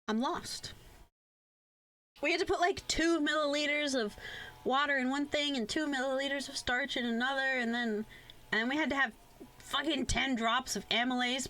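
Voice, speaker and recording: A young adult woman chatting casually on a livestream, rambling in an animated way and swearing freely. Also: sits high. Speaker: VTuber live streamer. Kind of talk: casual chat on a game livestream.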